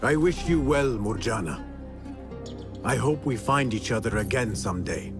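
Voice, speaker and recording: An actor playing a sincere young assassin in a video game drama, speaking in an earnest, measured manner. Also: male voice, medium pitch, animated, music in the background, close to an everyday voice. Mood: gentle, wistful. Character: earnest, soft-hearted young male assassin.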